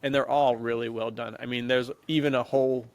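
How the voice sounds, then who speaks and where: An American man with a noticeably creaky, gravelly voice at an ordinary male pitch. He talks as a podcast co-host, casual and slangy, with keen enthusiasm for what he is discussing. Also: fairly even intonation, brisk. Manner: enthusiastic, slangy, laughs easily. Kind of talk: casual chat about action films.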